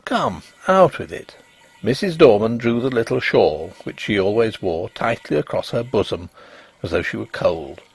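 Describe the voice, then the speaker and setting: A man narrating a Victorian ghost story as scripted reading, with an expressive, literary delivery. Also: on the low side, moderate pace, vocal fry, breathy edge.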